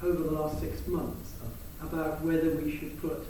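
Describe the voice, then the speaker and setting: A man's voice: on the high side, level tone, normal speed, background noise. An English man, a town councillor, answering questions at a public meeting, hesitant and searching for words. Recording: echoey hall, distant mic.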